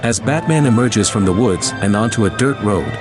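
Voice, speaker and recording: A man reads scripted narration for an audio drama. His voice is on the low side, with music clearly playing behind him. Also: expressive, normal speed.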